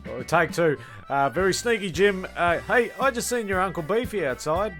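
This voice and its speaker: A man's voice: high voice, lively intonation, moderate pace, fairly bright tone, soft background music. A man with an Australian accent playing a breezy, placating scriptwriter who chats matily in a comedy radio play. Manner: chummy, matey, stumbling explanations. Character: breezy, placating scriptwriter managing his cast.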